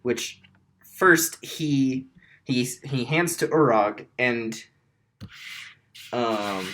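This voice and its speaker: A raspy-voiced man running a tabletop game, rambling through narration with many fillers. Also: medium pitch, extremely slow, a bit bright. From Wyoming. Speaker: game master.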